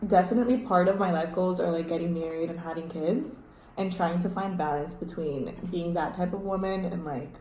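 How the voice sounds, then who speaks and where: A woman's voice: on the low side, a little fast, dark tone. Young woman vlogger, jokey casual chat, echoey room with faint hiss. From born in Spain, raised in Miami. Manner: playful, joking, laughs often. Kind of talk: casual chat while doing makeup.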